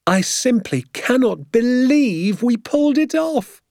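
Storybook dad, played by a man, giddy with triumph and boastful, emphatic, relishing every word, wildly varied pitch. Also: sing-song, moderate pace, darkish tone, no room sound, clean studio sound. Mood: elated. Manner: grandly emphatic, stretches words with relish.

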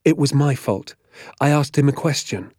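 A man's voice: mid-range voice, moderate pace, darkish tone, no echo, studio quality, voice pushed up, close to an everyday voice. Man voicing a polite, nervous schoolboy. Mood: nervous, apologetic. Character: polite, nervous schoolboy answering his teacher.

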